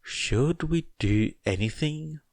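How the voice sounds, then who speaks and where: A man's voice: fairly high pitch, expressive, slow, creaky, a little mellow, no echo, voice pushed up, exaggerated voice. A man voicing an anxious, casual teenage student with a hesitant manner in an audiobook. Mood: uneasy, wry.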